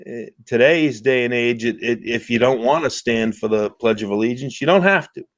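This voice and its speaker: A man's voice: mid-range voice, moderate pace, dry recording, lo-fi sound. Blunt stammering man in his fifties. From United States. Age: 50s. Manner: blunt, repeats himself, stammers at starts. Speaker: caller on a livestream. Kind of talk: casual political chat.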